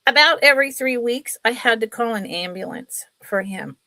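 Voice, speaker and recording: A woman's voice: a little high, expressive, moderate pace, bright, forceful tone, no room sound. A middle-aged woman with a sniffly, congested nose, chatting casually and ramblingly as she hosts a live sale. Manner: rambling, chatty, apologetic asides. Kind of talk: casual livestream chat.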